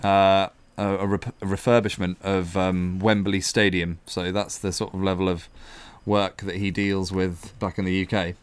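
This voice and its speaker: A man's voice: normal speed, few pauses, smooth. A young man telling a story in casual podcast chat, acting out the other people's lines as he goes. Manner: animated, acts out quoted voices with rising pitch. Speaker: podcast co-host.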